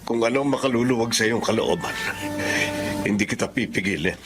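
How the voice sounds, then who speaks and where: A man's voice: fairly low pitch, a little fast, over music, natural voice. A middle-aged man acting a humble, wounded father in a Filipino radio drama, earnest and sorrowful. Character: hurt, humble, unschooled father of a rebellious daughter.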